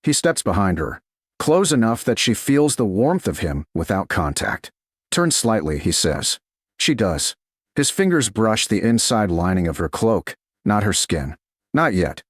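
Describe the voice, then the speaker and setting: A man narrating a scripted romance story in a slow, dramatic storytelling style. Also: on the low side, sing-song, slightly rough, dry recording, studio quality. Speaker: audiobook narrator.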